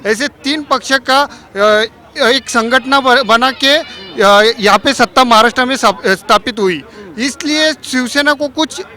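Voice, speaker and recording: A young man from Maharashtra with a Marathi accent, answering in a casual street interview, halting as he searches for words. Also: very high-pitched, pushed and bright. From Maharashtra, India. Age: young adult. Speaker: party supporter interviewed.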